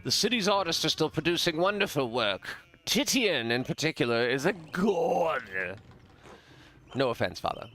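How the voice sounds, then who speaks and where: A man's voice: fairly high pitch, lively intonation, normal speed, a bit bright, pitched above the performer's own voice. Man doing a breezy, grand visiting nobleman. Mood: effusive. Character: jovial, gossipy, entitled visiting nobleman.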